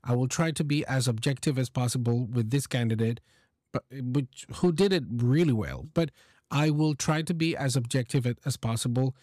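A man's voice: mid-range voice, moderate pace, dark tone, no echo. A man with a mid-range voice talks to his listeners as a host and teacher. He explains things casually, circling back to rephrase, in a dry recording with little room sound. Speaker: host, English exam teacher giving feedback. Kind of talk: casual explanatory podcast-style talk to listeners.

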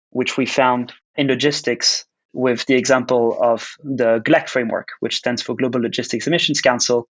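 A man from Switzerland, a company founder giving measured, explanatory answers in a podcast interview. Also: medium pitch, highs cut off. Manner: measured, explanatory, with frequent "uh" pauses. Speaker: guest, startup founder and CEO.

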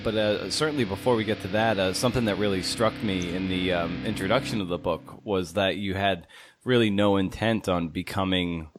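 Give the host a low male voice with an emphatic, punchy way of speaking. He presents a podcast and puts questions to guests from a small office at home, with faint guitar music in the mix. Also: fairly even intonation, fairly quick. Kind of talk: podcast intro and interview questions.

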